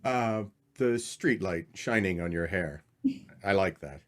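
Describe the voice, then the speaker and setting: A man playing an awkward, uneasy book editor in a radio drama, speaking hesitantly and haltingly. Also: low-pitched, expressive. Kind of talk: old-time radio drama acting.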